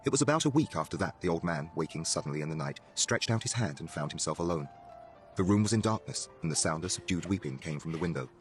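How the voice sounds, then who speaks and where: A man's voice: sits low, expressive, fast. A man narrating a horror story in a dramatic, scripted reading style. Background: music.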